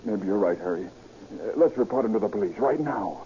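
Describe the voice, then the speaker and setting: An actor playing an uneasy, cautious traveling salesman with halting speech in a vintage radio crime drama. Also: lowish voice, expressive, very noisy, lightly acted. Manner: halting, stammering. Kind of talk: 1930s radio acting. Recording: muffled old radio recording.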